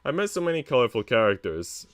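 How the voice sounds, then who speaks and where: Man as modest young woman, visual novel narrator, formal, reflective, animated pitch. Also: fast talker, smooth, close to an everyday voice. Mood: fond, reflective. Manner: formal, earnest, reflective storytelling. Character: young woman narrator, earnest, modest, well-mannered housemaid's daughter.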